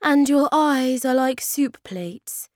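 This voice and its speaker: A woman's voice: sits high, expressive, slow pace, dry recording, clean studio sound, voice pushed up. A woman voicing a frank, plain-spoken male creature in a storybook. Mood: matter-of-fact.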